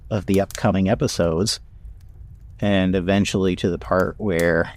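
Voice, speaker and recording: Low-voiced man chatting casually. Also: a little mellow. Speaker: podcast cast member and producer. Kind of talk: casual chat.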